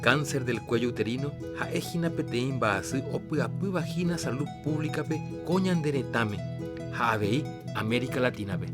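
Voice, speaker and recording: A man's voice: mid-range voice, lively intonation, normal speed. A man hosting a radio program, reading scripted health announcements. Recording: loud guitar music behind.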